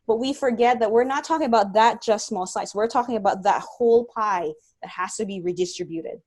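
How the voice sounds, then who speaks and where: A woman's voice: medium pitch, fairly quick, darkish tone. Earnest woman explaining on a video call. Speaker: guest speaker, environmental scientist. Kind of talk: webinar talk and Q&A.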